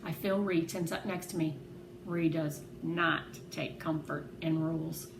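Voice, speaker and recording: A woman reading a children's novel aloud as an expressive, animated narrator. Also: sits low, measured pace. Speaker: read-aloud host. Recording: echoey room with clear background noise.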